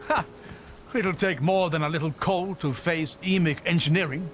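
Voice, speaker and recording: An actor playing a thoughtful, kindly older scholar-adventurer in a video game, articulate and wry. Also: male voice, on the high side, normal speed, natural voice. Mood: intrigued, wistful. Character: learned, kindly older male scholar-adventurer, wistful about history. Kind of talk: video game cutscene acting. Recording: loud game score behind. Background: music.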